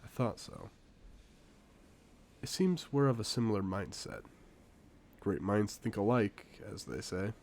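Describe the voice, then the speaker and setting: A man playing a suave, confident secret agent in a spy audio drama, speaking in a playful, theatrical, deliberate way. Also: lowish voice, very animated, a little mellow, heavily acted. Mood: teasing. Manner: smooth-talking, playful, theatrical, deliberate phrasing. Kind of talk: scripted one-sided audio roleplay, spy drama.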